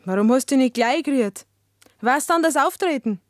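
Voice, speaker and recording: Brazen young Bavarian farm maid, high-voiced. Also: female voice, animated, moderate pace, no room sound, clean studio sound. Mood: pleading, coaxing. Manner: frank, unashamed, coaxing. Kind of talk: German radio play acting.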